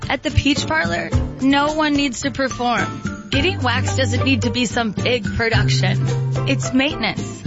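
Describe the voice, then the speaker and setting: A woman reading a radio advertisement in a breezy, candid way. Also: sits high. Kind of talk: casual, frank radio ad read. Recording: lo-fi radio audio with loud music.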